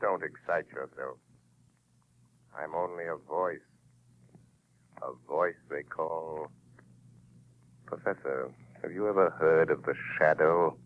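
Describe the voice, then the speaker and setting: An actor playing a sinister unseen avenger in an old radio mystery, speaking in an ominous, mocking manner. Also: male voice, extremely low pitch, slow, pauses often, phone-quality sound, exaggerated voice. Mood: taunting. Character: sinister unseen male avenger, a taunting voice of conscience. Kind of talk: 1940s radio mystery acting. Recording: thin band-limited old radio recording.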